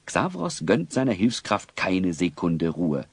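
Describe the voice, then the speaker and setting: A man narrating a children's audio drama as a storyteller, wry and expressive. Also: sits low, measured pace, husky, dry recording, heavily acted.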